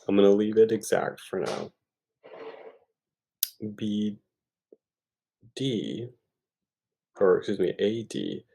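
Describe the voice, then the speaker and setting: Low, gravelly male math tutor. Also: sing-song, slow, long pauses, dark tone, poor audio. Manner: informal, thinking aloud with hesitations and self-corrections. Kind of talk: step-by-step problem walkthrough.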